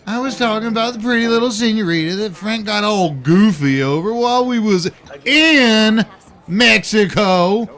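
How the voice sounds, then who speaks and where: A man playing a brash, mocking younger cowboy brother with an American Western drawl and an excitable manner in an audio drama. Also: sits high, brisk, hardly any pauses, faint music behind, lightly acted. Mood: cheeky. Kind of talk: audio drama acting, Old West dialogue.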